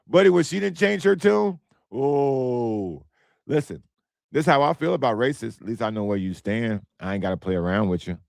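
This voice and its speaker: An American man hosts his own podcast, talking bluntly and going over the same points twice. His voice is hoarse and strained, with a gravelly rasp. The sound is dry and close. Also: mid-range voice, normal speed, dry recording. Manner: animated, blunt, repeats himself. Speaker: podcast host. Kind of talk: casual solo commentary.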